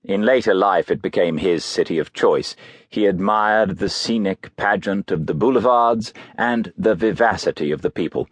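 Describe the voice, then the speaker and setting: Deep, husky male audiobook narrator. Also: moderate pace, dry recording. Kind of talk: scripted biography narration.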